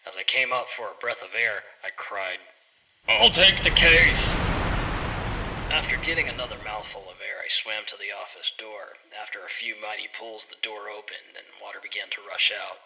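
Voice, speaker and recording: A man playing an earnest, bumbling bear private detective, narrating his case in a deadpan, theatrical old noir style. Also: fairly low pitch, moderate pace, creaky, distant mic, slight background noise, heavily acted, low-quality recording. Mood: dismayed. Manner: deadpan, theatrical hard-boiled storytelling. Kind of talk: scripted first-person noir detective narration.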